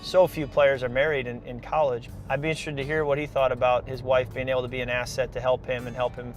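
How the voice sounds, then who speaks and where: A man's voice: medium pitch, little pitch movement, fast, soft background music. Earnest man, upbeat and encouraging. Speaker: host, pro quarterback mentoring draft prospects. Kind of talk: casual sit-down interview and voice-over narration.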